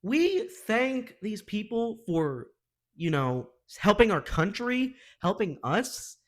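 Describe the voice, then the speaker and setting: High-pitched, animated man reacting casually. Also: slow. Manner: exasperated, opinionated, slangy. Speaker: reaction video host.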